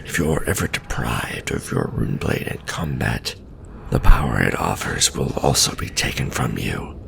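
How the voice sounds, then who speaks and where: Undead veteran death knight played by a man, extremely deep and crackling, half-whispered, formal stern mentor, fantasy ASMR, noisy backdrop. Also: very animated, creaky, fairly bright tone, heavily acted. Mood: measured, instructive. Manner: measured, formal, instructive.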